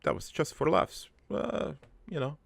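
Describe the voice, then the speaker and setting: Man playing a gravelly, rambling old army prankster. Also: a little high, lively intonation, dry recording, heavily acted. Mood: proud, mischievous. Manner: rambling, halting, matter-of-fact storytelling. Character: gruff, crude, regretful army veteran and prankster. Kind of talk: improvised in-character cartoon monologue.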